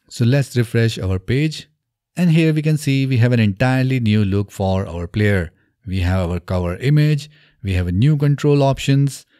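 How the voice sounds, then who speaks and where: A man in his thirties narrating a step-by-step software tutorial into a close microphone. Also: on the low side, no room sound. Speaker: tutorial presenter. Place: small indoor home studio. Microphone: close desk microphone on an arm.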